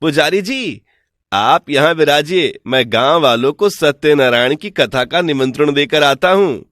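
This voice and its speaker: A man playing a cunning, theatrical village swindler in an animated story. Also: a little high, unhurried, no room sound, studio quality. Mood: polite scheming. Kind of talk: animated Hindi storytelling dialogue.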